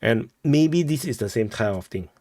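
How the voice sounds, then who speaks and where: A man with a Singaporean accent giving informal news commentary as a host, halting and often rephrasing himself. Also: medium pitch, expressive, a little mellow, no echo, clean studio sound. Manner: halting, repeats and rephrases phrases.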